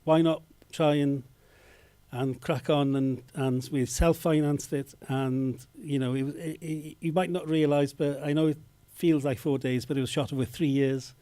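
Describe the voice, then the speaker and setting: A filmmaker speaks at a festival Q&A in a fairly high but mellow voice. He stops often, restarts his sentences and searches for words. The sound is close and dry. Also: fairly even intonation, unhurried, no room sound. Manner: hesitant, many fillers and restarts. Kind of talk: festival Q&A answers. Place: cinema Q&A stage.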